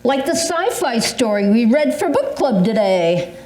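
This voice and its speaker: A woman's voice: on the high side, sing-song, measured pace, darkish tone. An older woman playing an agitated, suspicious older woman in an amateur readers theatre performance, speaking emphatically. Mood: alarmed, suspicious. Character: agitated older woman convinced of a mailbox conspiracy. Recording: roomy echo.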